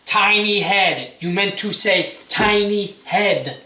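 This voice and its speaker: A man's voice: high-pitched, slow pace, bright, forceful tone, full character voice. A young man playing an uneasy, evasive regular guy with a hesitant, casual delivery in an amateur stage play. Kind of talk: amateur one-act stage play dialogue. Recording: echoey room, distant mic, hissy noise.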